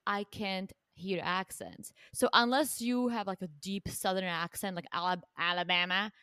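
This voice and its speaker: A woman's voice: medium pitch, steady tone, normal speed, bright, forceful tone, smooth, no echo. An easygoing woman from the Republic, chatting as a podcast guest. She laughs often, and her voice sounds close and dry. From Czech Republic. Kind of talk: casual chat.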